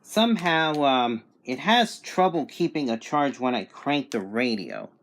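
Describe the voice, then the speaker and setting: A man's voice: mid-range voice, sing-song, unhurried. A man giving a casual, unscripted product review in a plain-spoken, matter-of-fact way. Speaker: radio reviewer.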